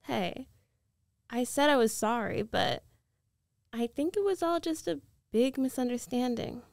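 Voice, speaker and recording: A woman's voice: medium pitch, a little mellow, dry recording, clean studio sound. A woman playing a confident, possessive rich ex-girlfriend in an ASMR roleplay, coaxing and teasing in a self-assured way. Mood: defiant, assertive.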